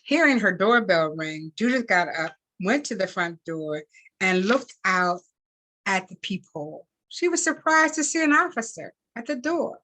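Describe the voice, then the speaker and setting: A woman reads a story aloud at a slow, measured pace. Her delivery is expressive and deliberate, with a halting repeat of words here and there, in a medium-pitched voice. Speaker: reader and event host. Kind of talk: expressive read-aloud of fiction.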